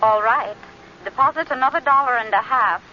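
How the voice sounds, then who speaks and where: An actress playing a polite, businesslike telephone operator, in crisp and formal 1940s radio drama style. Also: female voice, on the high side, moderate pace, close to an everyday voice. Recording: muffled old radio broadcast with faint hiss.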